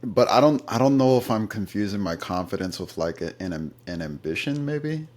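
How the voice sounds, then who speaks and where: A man with a very low, deep voice, answering interview questions at an easy conversational pace. He tells his stories thoughtfully, stopping now and then with fillers as he gathers his thoughts. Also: animated, normal speed, a little mellow. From United States. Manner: thoughtful storytelling, occasional fillers.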